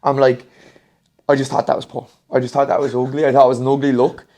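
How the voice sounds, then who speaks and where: A young man with a gravelly voice at a middle pitch co-hosts a football podcast. He rambles through his stories, filling them with "like" and "do you know what I mean.". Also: level tone, quick pace, warm tone. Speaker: podcast co-host. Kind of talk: casual football chat.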